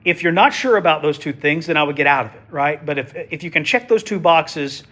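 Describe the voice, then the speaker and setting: A man's voice: fairly high pitch, animated, a little fast, pushed and bright. A man, a TV meteorologist chatting folksily through a live weather stream while sniffling with a head cold. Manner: folksy, chatty, joking asides. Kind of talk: casual livestream weather commentary.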